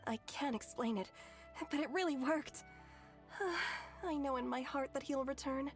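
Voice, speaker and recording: A woman's voice: high-pitched, a little slow. An actress voicing a naive young woman who earnestly gushes about her faith. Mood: gushing. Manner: earnest and gushing. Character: naive devoted young woman, gushing believer. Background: game music.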